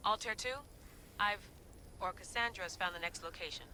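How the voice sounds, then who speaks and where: A woman playing a confident, determined young assassin researcher in a game cutscene, brisk and casual. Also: medium pitch, sharp tone, a little hiss or hum. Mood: pleased. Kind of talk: video game cutscene acting.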